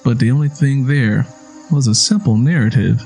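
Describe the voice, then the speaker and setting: A man's voice: medium pitch, normal speed, gravelly, darkish tone, faint music behind. Man narrating an audio drama.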